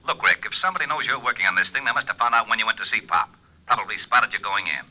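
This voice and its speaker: An actor playing a worried, skeptical police lieutenant with an urgent manner in an old radio crime drama. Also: male voice, lowish voice, rapid-fire, pushed and bright. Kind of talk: 1940s-50s radio drama acting. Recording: dull vintage radio recording.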